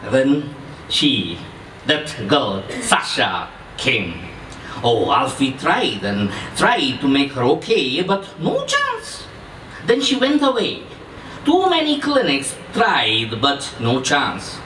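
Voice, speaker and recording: A man's voice: mid-range voice, wildly swooping pitch, slow, bright, forceful tone, voice pushed down, heavily acted. Man acting a wildly swooping, menacing ex-commando. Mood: reminiscing, dramatic. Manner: emphatic, repetitive, broken grammar with questioning tags. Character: menacing, boastful Iranian ex-commando, volatile and emphatic. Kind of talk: dramatic character reading from a novel. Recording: distant echoey room, faint noise.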